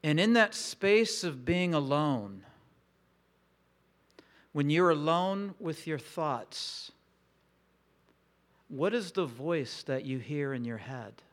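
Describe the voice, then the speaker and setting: Slow, reflective male pastor. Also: a little high, animated. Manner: slow, reflective, intimate delivery with long pauses. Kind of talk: sermon.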